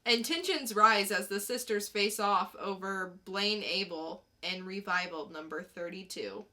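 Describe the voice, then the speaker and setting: A woman with a bright voice and a slow, unhurried pace. She sits at a middle pitch, speaking casually as a podcast co-host reviewing comics and reading blurbs.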